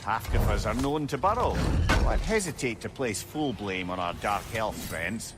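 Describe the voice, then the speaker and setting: Game role: male actor as wry old Scottish sage and sidekick, eloquent, very animated intonation. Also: fairly low pitch, moderate pace, heavy background noise, close to an everyday voice. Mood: knowledgeable. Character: chatty, wise, wry old male storyteller companion. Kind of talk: video game dramatic dialogue.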